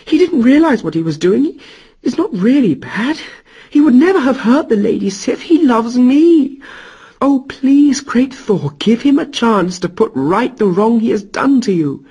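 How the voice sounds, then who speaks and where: A man's voice: very high-pitched, big pitch swings, unhurried, a little mellow, breathy edge, lo-fi sound, pitched above the performer's own voice, exaggerated voice. A man voicing a devoted wife who earnestly begs a god to spare her husband. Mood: begging. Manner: begging, earnest. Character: pleading loyal wife of a trickster god.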